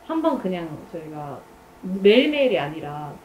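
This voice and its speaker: A woman's voice: on the low side, big pitch swings, normal speed, darkish tone. A woman reflecting casually on her experience as a team member in a group discussion. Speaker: project team member being interviewed. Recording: echoey room with background noise.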